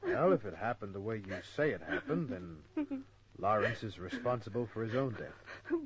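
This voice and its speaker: A wry male private eye from an old radio crime drama. His delivery is clipped and wisecracking, with animated pitch swings. The recording is dull, with a faint layer of noise. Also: normal speed. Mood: cool, probing. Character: wry, hard-boiled male private detective narrating his case. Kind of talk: old-time radio detective drama acting and narration.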